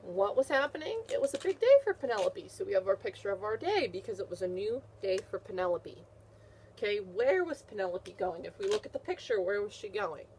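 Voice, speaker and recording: A woman's voice: medium pitch, expressive, a little fast, darkish tone, a little hiss or hum, poor audio. A woman teacher reading a picture book aloud to young children, repeating questions and answers in an encouraging way. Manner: encouraging, praising the listener.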